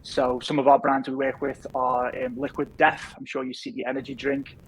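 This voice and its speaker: Liverpool guy in his 30s, laid back guest chat, pretty monotone, bit of hiss. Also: medium pitch, moderate pace, poor audio. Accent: Liverpool, softened. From England. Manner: easygoing, rambling with many fillers. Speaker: podcast guest, business founder. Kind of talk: casual interview chat.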